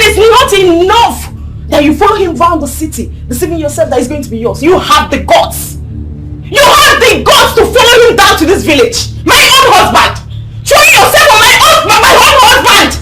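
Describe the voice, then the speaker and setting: An actress playing a jealous village wife who confronts her husband's lover, shouting accusations. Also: extremely high pitch, lively intonation, brisk, poor audio. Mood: accusing. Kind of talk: film drama acting. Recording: film soundtrack with loud music.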